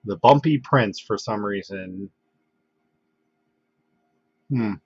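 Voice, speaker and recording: A man hosting a casual livestream, congested from a head cold, talking in a relaxed, halting way with lots of fillers and restarts. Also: lowish voice, slightly rough, no room sound. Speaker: livestream host. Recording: dull, dry lo-fi home stream audio.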